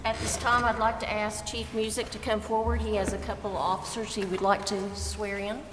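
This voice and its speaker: A woman's voice: medium pitch, flat delivery, fairly quick, noisy background. A woman speaking as chair of a formal public meeting in a council chamber. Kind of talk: formal meeting announcements and greetings. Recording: echoey hall with music behind.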